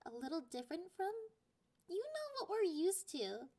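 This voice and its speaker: Woman voicing a high-pitched, teasing catgirl girlfriend. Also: huge pitch swings, warm tone, full character voice. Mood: sweet, playful. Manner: breathy whispers, drawn-out taunting delivery. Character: clingy, possessive, teasing young catgirl girlfriend, slightly sadistic. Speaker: ASMR roleplay voice actor. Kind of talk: ASMR roleplay, intimate and half-whispered.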